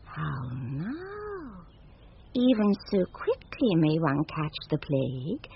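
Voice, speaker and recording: An actress playing a proud, impulsive young countess in love, in formal, theatrical Shakespearean radio style. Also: female voice, low-pitched, huge pitch swings, gravelly, heavily acted. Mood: smitten, musing. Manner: theatrical, deliberate, formal verse delivery. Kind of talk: classic Shakespearean radio acting. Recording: muffled 1970s radio recording, noisy.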